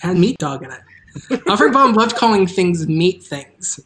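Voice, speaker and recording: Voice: mid-range voice, sing-song, slow pace, a bit bright, slight background noise. A host chatting casually on a livestream, making announcements in a loose, informal way that trails off mid-thought. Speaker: host and creator of an audio drama.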